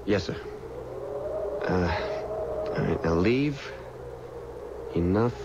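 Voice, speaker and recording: An actor playing an earnest, courteous undertaker's assistant in a 1970s radio drama, emphatic and a little halting. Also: male voice, sits low, expressive, vocal fry, full character voice. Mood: flustered, polite. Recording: dull, noisy old radio recording.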